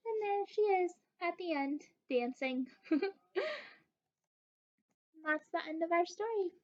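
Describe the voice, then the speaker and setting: A young woman reads a picture book to little children. Her voice is high and swings widely in pitch, expressive throughout. The webcam sound is slightly dull. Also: slow pace. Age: young adult. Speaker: story time reader. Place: living room indoors.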